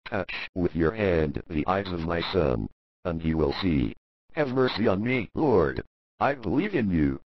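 A man's voice: deep voice, very animated, unhurried, dry recording, dull audio, voice pushed down, full character voice. A man voicing a humble, pleading parent of a blind son in a dramatized scripture story.

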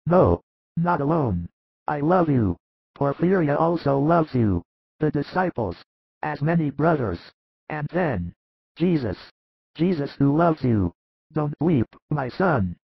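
A man voicing a warm-hearted older fisherman with a hoarse, gravelly voice and a comforting, fatherly manner. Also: fairly high pitch, big pitch swings, slow, dry recording, pitched above the performer's own voice, exaggerated voice. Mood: consoling. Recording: dull lo-fi dry recording.

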